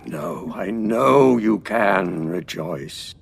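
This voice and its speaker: An actor playing a weary senior member of an alien collective in an audio drama, speaking in a solemn, halting way. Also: male voice, fairly low pitch, lively intonation, vocal fry, slightly rough, full character voice. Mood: grave, firm.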